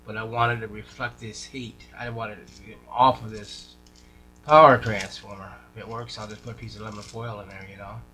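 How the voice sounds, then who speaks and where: A man's voice: on the low side, level tone, a little fast, pushed and bright, low-quality recording. A man talking casually through a hands-on radio repair, informal and folksy, thinking aloud as he goes. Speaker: radio repair hobbyist showing a restoration. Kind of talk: casual hands-on workshop chat. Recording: clear background noise, electrical hum.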